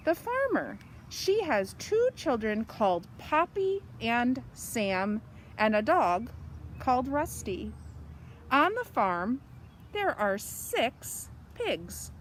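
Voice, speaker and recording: Female children's story reader, high and very melodic delivery, expressive and simple, with light background noise. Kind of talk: animated read-aloud of a children's picture book.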